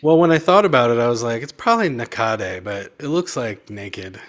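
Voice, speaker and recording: A man's voice: on the high side, very animated, quick pace. A man hosting a casual chat show, talking in a loose, self-deprecating, joking way. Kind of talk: casual video chat interview.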